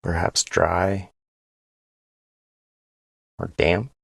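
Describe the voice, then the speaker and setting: A man leading a guided body scan meditation in a gentle, unhurried way, pausing between phrases. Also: deep voice, flat delivery, vocal fry, slightly rough, no room sound, studio quality.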